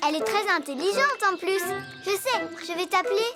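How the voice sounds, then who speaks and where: Tiny excitable cartoon girl elephant, super high childlike voice, loud kids music behind. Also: fairly quick. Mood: cheerful, caring. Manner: excitable, exclamatory. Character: cheerful, imaginative little girl elephant, enthusiastic and trusting. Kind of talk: preschool cartoon voice acting.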